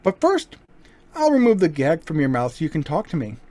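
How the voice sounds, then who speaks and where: A man playing a suave, menacing gentleman burglar in an old-time radio crime drama, mock-courteous and taunting. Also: on the high side, wildly swooping pitch, brisk, dry recording. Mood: smug, taunting.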